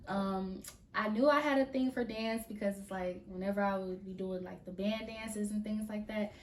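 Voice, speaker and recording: A woman's voice: medium pitch, normal speed, reverberant, recorded from a distance, slight background noise. A young woman from Mississippi, a guest choreographer, talking casually in an interview with emphatic repetitions. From Mississippi, USA. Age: young adult. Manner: relaxed, slangy, emphatic repetitions. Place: podcast studio.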